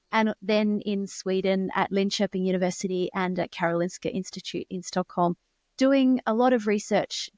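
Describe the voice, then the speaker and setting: A woman in her forties with an Australian accent, speaking as a guest researcher in a podcast interview, articulate and measured with occasional restarts. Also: medium pitch, a little mellow, no room sound. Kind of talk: conversational interview.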